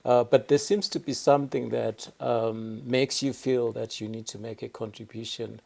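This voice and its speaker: A man's voice: mid-range voice, fairly even intonation, moderate pace, creaky, darkish tone. A man hosting an interview, asking questions hesitantly and repeating words as he goes. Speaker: interview host.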